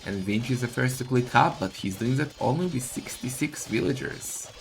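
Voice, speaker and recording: A man giving excitable, casual live esports commentary, full of exclamations and questions. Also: medium pitch, moderate pace, gravelly, low-quality recording.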